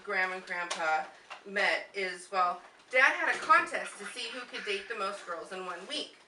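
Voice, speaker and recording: A woman's voice: medium pitch, moderate pace, sharp tone. Woman in a distant, echoey amateur skit. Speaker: puppet show performer at a family reunion. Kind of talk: amateur scripted skit performance. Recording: distant, echoey, noisy home video of live show.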